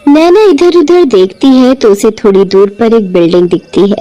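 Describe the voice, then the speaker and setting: A woman narrating an animated children's story in a lively storytelling manner. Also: on the high side, moderate pace, faint music behind. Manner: animated, shifts into character voices.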